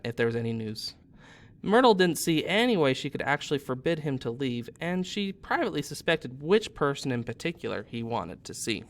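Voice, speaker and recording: A man in his thirties reads a story aloud as a teacher would. His narration is expressive and sits at a medium pitch.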